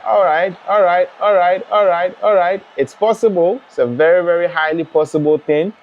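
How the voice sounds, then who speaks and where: A young man from Ghana hosting a live football watch-along, chatting and reading out viewer comments in a relaxed way. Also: sits high, normal speed, highs cut off. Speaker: live stream host.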